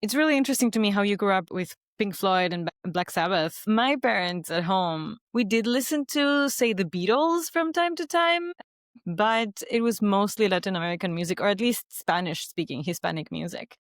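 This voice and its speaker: A woman's voice: medium pitch, expressive, normal speed, no echo, clean studio sound. Chatty woman podcast host. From Ecuador. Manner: chatty, laughs often. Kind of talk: casual podcast chat.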